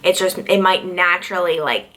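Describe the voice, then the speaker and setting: Young woman in her twenties, from Long Island, New York, casual vlog Q&A, bright voice, chatty and full of "like". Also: moderate pace. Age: 20s. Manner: chatty, lots of "like", pitch jumps high when excited. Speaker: TV news reporter and vlogger.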